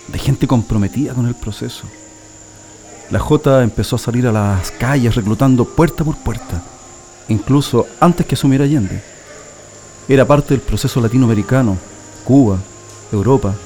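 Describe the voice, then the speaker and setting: Man acting an older Chilean militant reminiscing, mellow voice. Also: mid-range voice, normal speed, close to an everyday voice. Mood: nostalgic, proud. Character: older Chilean leftist man warmly reminiscing about his militant youth. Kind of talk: radio drama reminiscence.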